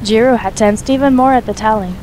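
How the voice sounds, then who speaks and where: A woman's voice: fairly high pitch, brisk, a little mellow, a little hiss or hum, low-quality recording. A woman reading scripted third-person story narration as the narrator of an audio drama.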